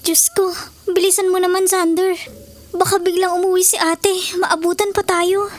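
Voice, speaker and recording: A woman playing an anxious but playful young sweetheart in a Filipino audio drama, coy and quick to scold in jest. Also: very high-pitched, level tone. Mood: anxious, impatient. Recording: prominent music under the voice.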